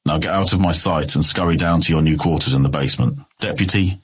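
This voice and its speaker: An actor playing a scheming, condescending noble brother who mocks his younger sibling with cold sarcasm in a husky voice. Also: rumbling low, fast, fairly bright tone, a little hiss or hum. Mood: contemptuous. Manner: smug, mocking, coldly sarcastic. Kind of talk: audio drama acting.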